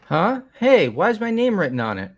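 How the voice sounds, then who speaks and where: A man playing an excitable, bewildered young man in a fan-voiced game. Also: sits high, normal speed, faint music behind, exaggerated voice. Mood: puzzled. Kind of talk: fan dub of a visual novel game.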